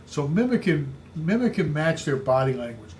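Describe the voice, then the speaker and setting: Man, booming voice, somewhat high, emphatic coaching talk, light background hiss. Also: sing-song, normal speed, a little mellow. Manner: emphatic, repetitive coaching delivery. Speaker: real estate sales trainer. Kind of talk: instructional podcast talk.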